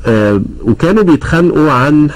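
A man in his thirties with an Egyptian accent, hosting a political talk show in a TV studio, asking measured questions. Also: fairly high pitch, lively intonation, rough recording. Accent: Egyptian Arabic. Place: TV studio, indoors. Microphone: lapel mic.

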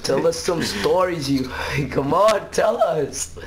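A man's voice: fairly high pitch, expressive, slow pace, over a noisy crowd. A young man hosting a video, chatting casually in a teasing, profane, slangy way. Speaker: video host. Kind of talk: casual joking chat over gameplay.

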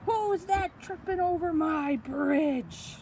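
A woman voicing a mean, menacing storybook troll with loud, drawn-out demands and threats. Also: extremely high pitch, far from the mic, slight background noise, voice pushed up, heavily acted.

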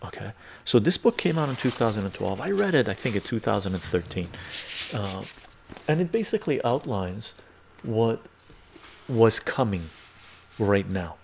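An older man hosts a live stream from his book-lined home study on a lapel mic. His gravelly voice sits on the low side, and he talks emphatically, repeats himself and keeps asking "right?", sometimes sinking to a soft whisper. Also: big pitch swings, normal speed, long pauses, slight background noise. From born in Iran, raised in western Canada. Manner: emphatic, repetitive, asks "right?" often, some soft whispering. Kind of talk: casual live stream talk reading chat.

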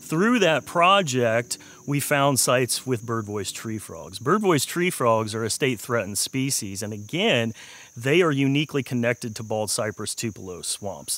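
A man in his forties with an American accent, explaining research in a measured way as a wildlife scientist in a documentary interview. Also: medium pitch, animated, fairly bright tone. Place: outdoors in a wooded swamp. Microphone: lapel mic. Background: music, insects.

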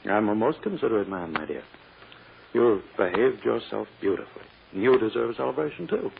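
An unctuous, self-satisfied American gentleman in a vintage radio play. His low, creaky voice swoops lavishly through genteel, insinuating phrases. The old recording sounds muffled. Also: expressive, brisk, background noise. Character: smug older man, oily, insinuating, self-satisfied suitor. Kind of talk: old-time radio drama acting.

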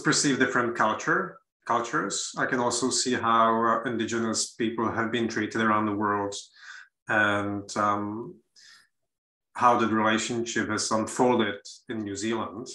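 A man with a Czech accent speaking as a panelist, thoughtful and pausing often to find his words. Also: fairly low pitch, sharp tone, echoey room, rough recording. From Czech Republic. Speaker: panelist, university academic. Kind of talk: measured panel discussion remarks.

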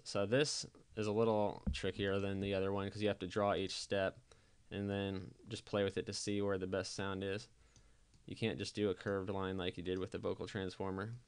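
A man giving a casual software tutorial voice-over, explaining things informally step by step. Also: on the low side, clear, resonant tone. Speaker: tutorial presenter.

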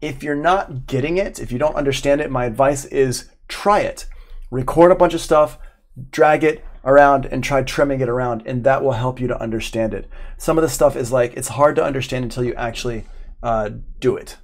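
A man's voice: mid-range voice, fairly quick. A middle-aged man giving an informal live online lesson, chatting and thinking aloud as he demonstrates. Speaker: audio production instructor. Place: indoor room at home, live stream.

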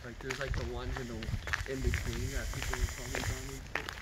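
A man's voice: mid-range voice, monotone, a little fast. A young man chatting casually and hesitantly outdoors, padding his talk with filler words. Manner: hesitant, heavy use of like. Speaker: urban explorer. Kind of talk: casual chat. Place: outdoors walking among townhouses in snow. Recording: very noisy outdoor camera audio.

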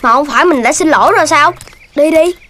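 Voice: high-pitched, fast, bright, forceful tone. A voice actor playing a mischievous, whiny little puppy boy in a children's cartoon, with a southern Vietnamese accent. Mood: cocky, dismissive. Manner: childish, whining. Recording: music behind the voice.

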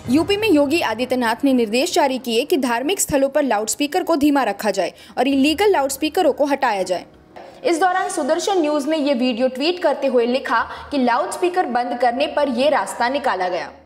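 A woman with a distinctly high voice reads a scripted news explainer. She narrates as a fact-check presenter. The recording is echoey, with a long reverb tail on her words. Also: animated, fairly quick, a little mellow, faint music behind.

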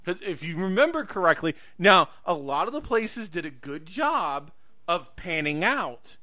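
A man's voice: high voice, sing-song, unhurried, sharp tone. A man hosting a casual podcast conversation, speaking emphatically and repeating himself to drive points home. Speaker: podcast host.

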